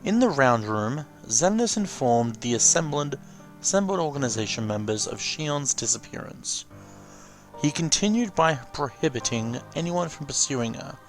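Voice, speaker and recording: A man's voice: medium pitch, very animated, moderate pace, faint music behind. A man hosting a watch-along, giving casual, rambling commentary and often correcting himself. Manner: rambling, self-correcting, exclamatory. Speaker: let's watch host. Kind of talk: casual reaction commentary, reading text aloud.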